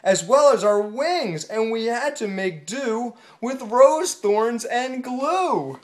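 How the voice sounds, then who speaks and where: A woman's voice: fairly high pitch, expressive, slow pace, a little mellow. A woman voicing a frantic chorus of tiny bees in a storybook, rhyming in a panic. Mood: panicky. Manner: panicky sing-song rhyme.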